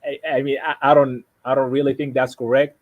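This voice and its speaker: Sudanese guy w arabic accent chatting casually, mid pitch, keeps restarting and saying you know, dry close sound. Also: little pitch movement, no room sound, lo-fi sound. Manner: halting, repeats and rephrases, frequent "you know". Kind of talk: casual conversation, explaining personal plans.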